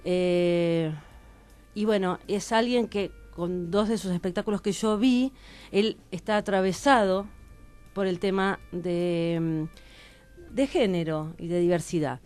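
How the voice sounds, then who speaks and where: A woman's voice: lowish voice, slow pace, a little mellow, clear, resonant tone, faint music behind. Argentine woman chatting on the radio. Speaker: radio columnist and interviewer. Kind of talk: conversational radio talk, introducing guests.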